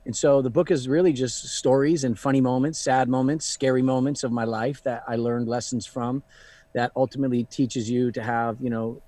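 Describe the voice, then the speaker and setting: A man's voice: medium pitch, dark tone. A man in his thirties, a luxury fashion founder and guest, talking casually and reflectively, with many "you know" fillers. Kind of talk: casual live online Q&A.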